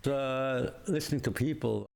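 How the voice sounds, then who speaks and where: A man's voice: mid-range voice, measured pace, vocal fry, a little mellow. A man in his sixties, a community elder from Canada, answering interview questions in an easygoing way with short replies. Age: 60s.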